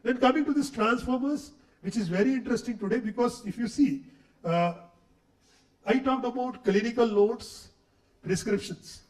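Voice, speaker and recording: A man's voice: very high voice, moderate pace. A middle-aged man with an Indian English accent, lecturing a room of faculty with a cold, chatty and full of questions for the audience. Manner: conversational, asks the audience questions, says okay often. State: has a cold, voice not clear. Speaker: guest lecturer. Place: lecture hall. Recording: echoey hall, speaker far from mic.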